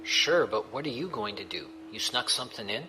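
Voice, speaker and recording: A man's voice: medium pitch, expressive, brisk, noisy background, close to an everyday voice. A man playing a glum pirate crewman who answers in a blunt, terse way.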